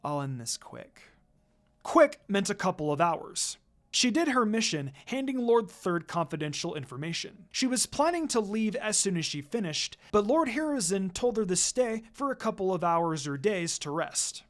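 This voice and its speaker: A woman reads fan fiction as a storyteller. Her intonation is animated and moves widely around a mid-range pitch. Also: moderate pace. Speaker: narrator. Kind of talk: scripted narration of fan fiction.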